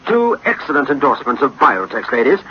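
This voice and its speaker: Man, very husky, animated intonation, courteous vintage radio advertising, dull sound. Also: medium pitch, fairly quick. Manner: courteous, formal. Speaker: sponsor presenter. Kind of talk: 1960s radio advertising patter.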